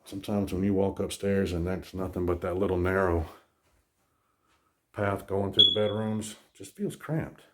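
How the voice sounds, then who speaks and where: A real estate agent with a hoarse, worn voice, chatting casually as he walks through an empty new house. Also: low-pitched, moderate pace, heavy background noise. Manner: chatty, rambling, self-deprecating. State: hoarse, losing his voice. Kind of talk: casual home tour vlog. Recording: echoey empty rooms, faint smoke alarm chirps.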